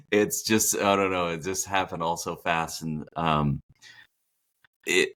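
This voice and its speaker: A man with a very deep voice, a hospital chaplain who grew up in Texas. He talks in an unhurried, reflective way, circling back and restarting his sentences as he thinks aloud in a podcast interview. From Texas, Dallas area. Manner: relaxed and reflective, many fillers and restarts. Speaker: hospital palliative care chaplain, podcast guest. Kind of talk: casual interview talk.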